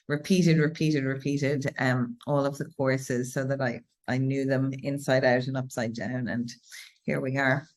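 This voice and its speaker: A woman with an Irish accent interviewing a guest over a video call, chatty and quick to repeat herself. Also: very deep voice. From Ireland. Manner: chatty, repeats words when excited.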